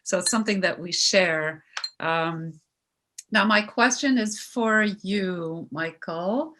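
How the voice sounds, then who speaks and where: A woman's voice: fairly low pitch, slow, sharp tone, slight background noise. A woman from Norway speaking as a panelist in a discussion, thoughtfully putting a question to a guest. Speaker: panelist, musician.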